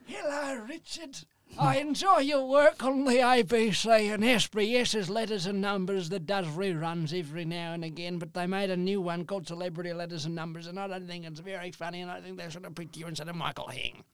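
A man playing a lonely, bitter grandfather said to be 150 years old, who rants and swears. Clear close sound. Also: sits high, steady tone, fairly quick, no echo. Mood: mock-polite. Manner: mock-indignant ranting, sweary.

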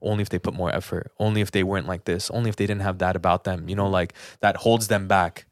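A man with a fairly low voice talks as a podcast co-host. He is earnest and informal, circling back on phrases to stress his point. The sound is dry and close, with little room around it. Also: steady tone, quick pace, dry recording. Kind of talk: casual podcast chat.

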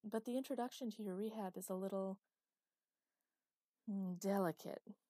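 A woman's voice: medium pitch, moderate pace, dark tone, dry recording, clean studio sound, lightly acted. A woman reading the lines of a distracted, awkward female doctor who speaks apologetically. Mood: apologetic. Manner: apologetic, hesitant.

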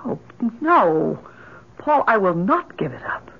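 A woman's voice: medium pitch, sing-song, slow pace, gravelly, full character voice. A woman playing a desperate, grief-obsessed mother in an old-time radio drama, with a theatrical, imploring delivery. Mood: fixed, determined. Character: desperate, grief-obsessed mother pleading for her dead child. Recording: noisy, dull old radio broadcast recording.

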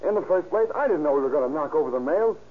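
An actor playing an anxious, cornered crook who stammers and pleads, in 1930s radio crime drama style. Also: male voice, on the high side, animated, racing. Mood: reluctant confessing. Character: cornered, anxious young crook protecting his girlfriend. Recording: tinny old radio recording.

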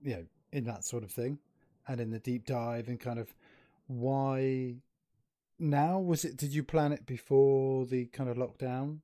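A man's voice: medium pitch, fairly even intonation, unhurried, mellow, no echo, studio quality. A man hosting a casual video-call interview, joking loosely and running himself down. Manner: jokey, loose, self-deprecating. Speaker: host.

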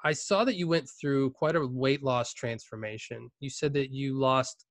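A young man hosting a podcast, chatting in a relaxed way with lots of fillers, often trailing off quietly. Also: medium pitch, normal speed, no room sound, studio quality. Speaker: podcast host. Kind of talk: casual interview chat.

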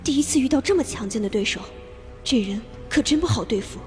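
A woman plays a cold young assassin set on her target, in an audio drama. Her voice sits fairly high and stays clipped and firm, with faint music behind. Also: expressive, fast talker, long reverb tail, close to an everyday voice. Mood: resolute. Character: determined young female assassin. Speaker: voice actor. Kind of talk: Chinese audio drama acting.

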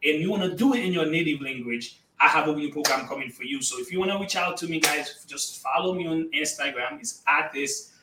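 A man from Haiti whose first language is Haitian Creole, giving emphatic, energetic motivational answers as a guest in an interview. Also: a little high, brisk, hardly any pauses, roomy sound, far from the mic, background noise. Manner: energetic, emphatic, addresses listeners as guys. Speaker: guest entrepreneur.

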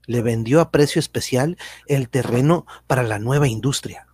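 A man's voice: mid-range voice, normal speed, darkish tone, husky. A man narrating a radio drama from a script.

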